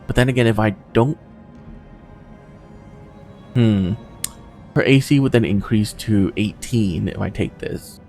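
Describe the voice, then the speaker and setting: A man's voice: on the low side, measured pace, long pauses, darkish tone, soft background music. A man giving casual gameplay commentary, thinking aloud as he weighs his choices. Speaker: gamer commenting on own playthrough.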